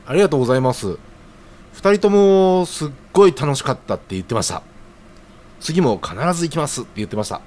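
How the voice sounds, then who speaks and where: An actor playing an earnest, flustered young office junior in love in an audio drama, eager and hesitant in casual polite speech. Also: male voice, a little high, expressive, fast, darkish tone, natural voice. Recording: audible background noise.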